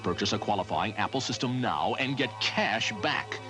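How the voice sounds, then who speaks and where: A man reading a retail computer store ad in a brisk, salesy announcer style. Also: on the low side, lively intonation. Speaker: commercial announcer. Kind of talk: retail radio-style ad read. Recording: old ad audio with music.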